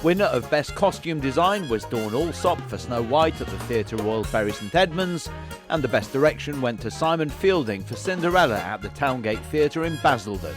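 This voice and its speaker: A male announcer with a mid-pitched voice reads scripted narration listing winners. Music plays clearly behind him throughout. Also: animated, normal speed.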